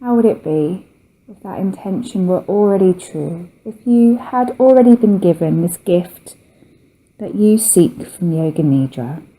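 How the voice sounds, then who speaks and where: Slow, very mellow woman guiding meditation. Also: on the low side, lively intonation, poor audio. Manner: soothing, gentle, unhurried with long pauses. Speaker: yoga nidra teacher. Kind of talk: guided relaxation meditation.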